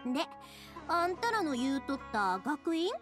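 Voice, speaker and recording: A woman voicing a bubbly, warm-hearted, chatty young woman with a Kansai accent in an anime game. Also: sits high, a little slow. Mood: excited, amazed. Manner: excitable, chatty, friendly. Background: game music.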